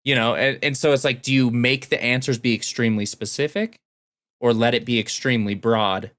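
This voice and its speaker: A man talks casually as a podcast co-host, stating his opinions plainly. His voice is medium in pitch, with an everyday conversational delivery. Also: fairly even intonation, fairly quick, fairly bright tone, no room sound. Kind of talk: casual chat.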